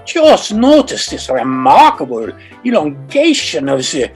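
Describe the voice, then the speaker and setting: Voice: medium pitch, huge pitch swings, measured pace, a little mellow, breathy edge, soft background music, heavily acted. A performer playing an absorbed, fussy scientist with a muttering clinical manner in an old-time radio comedy.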